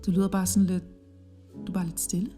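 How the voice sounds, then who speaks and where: A woman playing Denmark as a possessive, dramatic lover in an audio drama, talking in a quick, accusing way. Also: lowish voice, level tone, mellow, close to an everyday voice. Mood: suspicious. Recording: background guitar music.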